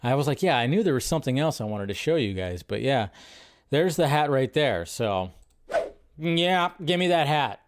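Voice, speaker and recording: A man hosting a casual live commentary show, excitable and chatty, with frequent fillers, restarts and mild swearing. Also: mid-range voice, moderate pace. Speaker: show host.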